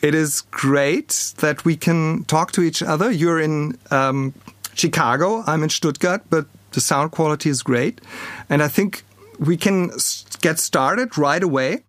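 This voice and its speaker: A man's voice: a little high, unhurried, no room sound. German-accented man hosting, close dry sound. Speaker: podcast host; political scientist. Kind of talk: podcast introduction and interview questions.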